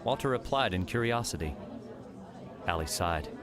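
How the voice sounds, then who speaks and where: A man's voice: low-pitched, lively intonation, normal speed, crowd noise. A man reading scripted story narration as the narrator of an audiobook.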